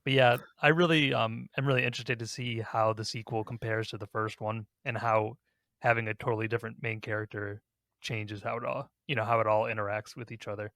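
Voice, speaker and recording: A man's voice: fairly low pitch, flat delivery, a little fast, a bit bright, dry recording, studio quality. A man hosting a gaming podcast, talking casually in a rambling, conversational way. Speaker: podcast host.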